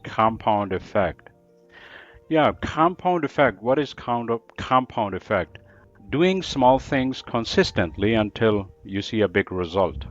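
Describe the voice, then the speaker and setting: A man with a low voice and an American-trained accent explains his points emphatically, often repeating key phrases. The sound is dull and lo-fi, with faint music underneath. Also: sing-song, takes it slowly. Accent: American-trained English. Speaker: book reviewer, English teacher. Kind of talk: podcast interview answers.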